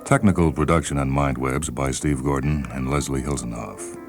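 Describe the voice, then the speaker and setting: An American man reading a literary short story as narrator, in a measured, deliberate manner. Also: extremely low pitch, fairly even intonation, soft background music. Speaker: narrator and reader of short stories.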